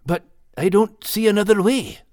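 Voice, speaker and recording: A man's voice: high-pitched, normal speed, a little mellow, no room sound, clean studio sound, pitched above the performer's own voice, natural voice. A man voicing a brave but self-doubting male dog with a Scottish accent for an audiobook. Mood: excited, worried.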